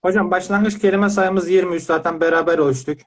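A man's voice: high-pitched, fairly even intonation, a little fast. A man speaking as a parent, giving a conversational testimonial in an interview.

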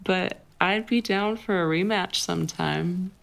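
Woman as female best friend with a secret crush: lowish voice, unhurried, teasing, tender, intimate ASMR roleplay. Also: gravelly. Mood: winded, amused. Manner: teasing, halting, giggly delivery. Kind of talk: intimate ASMR roleplay, acted one-sided conversation.

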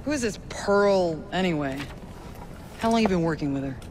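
Game role: performer playing courteous, crafty young gang boss, smooth dealmaker, medium register, over noisy rumble. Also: animated, moderate pace, close to an everyday voice. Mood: wry, probing. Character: polite, shrewd young London smuggler boss named Ned. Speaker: game character. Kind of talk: video game cutscene acting.

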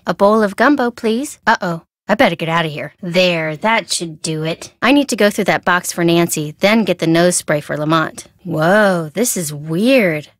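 An American actress playing a perky, curious, chatty young woman amateur sleuth in a video game, with an expressive, girlish manner. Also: on the high side, no room sound, clean studio sound. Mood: uneasy, muttering. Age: young adult. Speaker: voice actor. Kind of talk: video game character dialogue.

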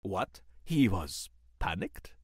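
A man playing a courteous, easily alarmed gentleman host with theatrical old-fashioned manners in a horror radio play. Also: low voice, big pitch swings, takes it slowly, husky, no room sound, studio quality, voice pushed down, heavily acted. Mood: puzzled.

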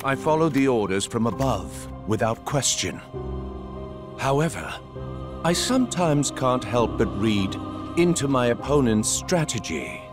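Casting: male actor as a cool, middle-aged strategist for a game cutscene, unhurried and probing, with expressive swings in pitch. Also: music in the background, lightly acted. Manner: measured, deliberate, probing. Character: calm, calculating middle-aged male card-playing schemer. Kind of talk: cinematic video game voice acting.

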